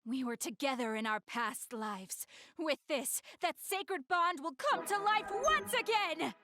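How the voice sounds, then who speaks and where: A woman playing a grandiose dark-fantasy crusader girl in an anime game, declaiming pompously and theatrically with drawn-out words. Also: sits high, expressive, no echo, exaggerated voice. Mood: grandiose, ominous.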